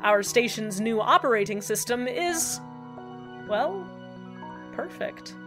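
A woman plays an earnest male radio host from a strange small town, closing his show with sincere thanks tinged with irony. His voice sits a little high for a man. He speaks in a steady broadcast cadence. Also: natural voice. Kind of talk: fan audio drama acting.

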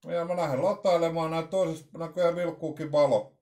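Man in his forties, mellow tone, halting think-aloud unboxing at his desk webcam. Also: fairly high pitch, steady tone. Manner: halting, thinking aloud with many fillers and restarts. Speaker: vlogger unboxing radios. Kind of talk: casual unboxing commentary. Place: small room at home with shelves.

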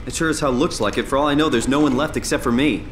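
A man's voice: medium pitch, fast, fairly bright tone, natural voice. Game survivor, male actor: despairing, sardonic man in a robotic diving suit, roomy sound, machinery noise behind. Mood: bitter. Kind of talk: video game voice acting.

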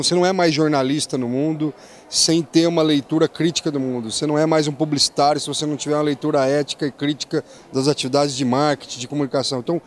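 Middle-aged man making his point hard into a handheld mic, light background noise. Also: on the high side. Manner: emphatic, argumentative. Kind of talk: interview answer. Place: large indoor sports hall. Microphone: handheld mic held up by a reporter.

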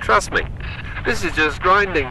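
A man's voice: high voice, unhurried. A man voicing a sneering, scornful internet troll in an action horror audio drama. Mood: bored scorn. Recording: loud battle noise and gunfire behind.

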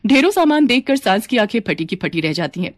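A woman's voice: medium pitch, sing-song, brisk, dry recording, studio quality. A woman reading scripted narration for a moral family story.

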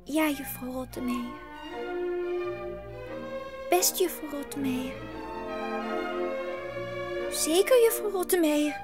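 A woman's voice: high-pitched, animated, slow, long pauses, loud music behind the voice. An actress playing an earnest, eager little girl in an audio drama. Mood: dutiful.

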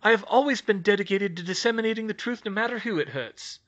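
A man's voice: high-pitched, expressive, fast talker, fairly bright tone, highs cut off, voice pushed up. A man voicing a smug, scheming middle-aged woman gossip journalist, pushy in tone. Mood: indignant.

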